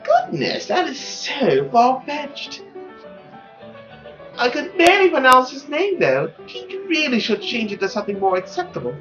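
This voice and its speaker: Haughty, scornful gossip of high society, played by a woman, visual novel reading, very animated pitch. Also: measured pace, dull audio, over music, exaggerated voice. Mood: gossipy, scornful. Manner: haughty, affected, mocking.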